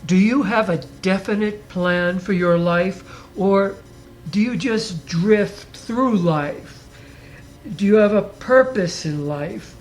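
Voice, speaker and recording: A man hosting a podcast, reading a scripted motivational monologue in a deliberate, emphatic way, his voice raspy as he recovers from the flu. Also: sits high, faint music behind. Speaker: podcast host.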